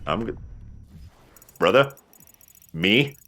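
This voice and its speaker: A man's voice: lowish voice, expressive, extremely slow, pushed and bright. A man hosting a let's play, explaining the game casually with playful, jokey slang. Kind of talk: casual gameplay commentary and walkthrough.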